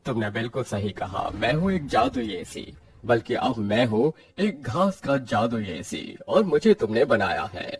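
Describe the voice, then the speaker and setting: Man voicing a lively boastful magical talking air conditioner. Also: medium pitch, unhurried, few pauses. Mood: proud. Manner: boastful, friendly, theatrical. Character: cheerful magical talking grass air conditioner, helper of the poor. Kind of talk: Hindi cartoon story acting.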